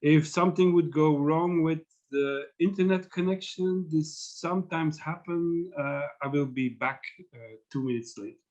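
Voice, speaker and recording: A man with a Flemish accent, a native Dutch speaker, giving an online academic lecture in a measured, thoughtful way that often trails off quietly. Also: fairly high pitch. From Belgium. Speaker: guest lecturer. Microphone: video call. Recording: muffled online call audio.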